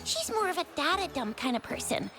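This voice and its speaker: A woman playing a perky, teasing, skeptical young female companion in an anime-style video game, expressive and casual. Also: high voice, brisk. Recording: loud game music behind. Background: game music.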